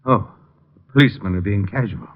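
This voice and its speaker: An actor playing a haughty, indignant lawyer cornered as a murder suspect in an old radio drama, clipped and defensive. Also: male voice, fairly low pitch, a little fast. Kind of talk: 1940s-50s radio crime drama acting. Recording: muffled old radio broadcast.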